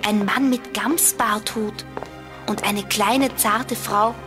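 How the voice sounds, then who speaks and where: A woman playing a plucky, inquisitive girl detective in an expressive children's radio play. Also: medium pitch, takes it slowly, over music, lightly acted. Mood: tense, watchful. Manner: animated, expressive.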